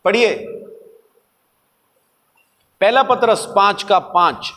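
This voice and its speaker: A man's voice: high-pitched, animated, slow, bright, forceful tone. A man preaching a sermon in an emphatic, teaching manner, using rhetorical questions and repeating key words. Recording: long hall reverb.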